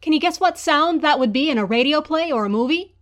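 A woman's voice: high-pitched, lively intonation, brisk. A woman presenting a quiz segment as a guest, explaining step by step. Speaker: guest Foley artist.

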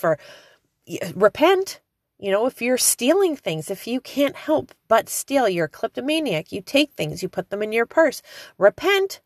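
A woman in her forties delivers an informal sermon with chatty fillers. Her pitch leaps high on emphatic calls. The recording is dry and close. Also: no room sound. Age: 40s. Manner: conversational with frequent fillers. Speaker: preacher. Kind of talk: informal preaching.

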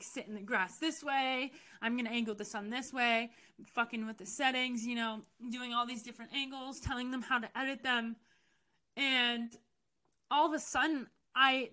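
A woman's voice: a little high, pushed and bright. A young woman from the US telling personal stories in a chatty, candid way, with frequent fillers and self-corrections. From United States. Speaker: podcast host. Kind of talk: casual personal storytelling.

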